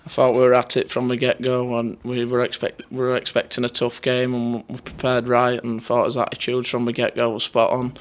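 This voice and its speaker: Male, twenties, Northern English footballer in post-match interview mode. Very monotone delivery, matter-of-fact, mid-pitched. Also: a little fast, hardly any pauses. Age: 20s. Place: indoors in front of a sponsor board. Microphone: handheld interview microphone held near him.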